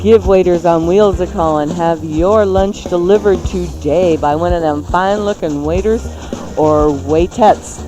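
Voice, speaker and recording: Playful, lower-pitched woman reading over music. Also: unhurried, poor audio. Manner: jokey, giggly, informal. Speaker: radio show co-host. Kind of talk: playful live radio ad read. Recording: music bed behind the voice.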